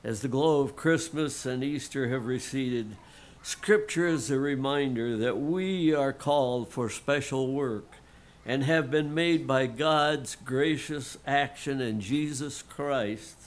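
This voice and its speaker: Middle-aged man, lay pastor, slow and earnest sermon, slightly froggy throat. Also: medium pitch. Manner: slow, earnest, folksy preaching with throat clearing. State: frog in his throat, clearing it.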